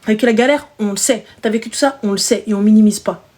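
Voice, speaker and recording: A woman's voice: medium pitch, quick pace, darkish tone. A single mother hosting a live stream, chatting casually and emphatically with slangy speech and repeated phrases.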